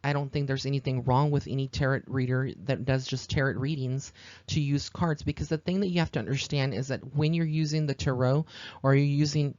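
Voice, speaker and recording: Male podcast host, American, medium pitch, earnest rambling explainer, dry and slightly dull recording. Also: fairly even intonation, fairly quick, darkish tone, dry recording. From Texas, United States. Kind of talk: informal spoken podcast monologue.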